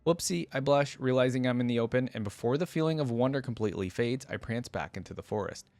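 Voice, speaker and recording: A man's voice: medium pitch, lively intonation, fast talker, darkish tone, dry recording. A young man hosting a reaction video, reading story text aloud and commenting in an informal, musing way.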